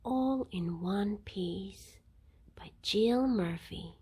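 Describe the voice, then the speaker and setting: A woman reading a children's bedtime story aloud in an expressive narrating style. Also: mid-range voice, very slow, husky. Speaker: storybook reader.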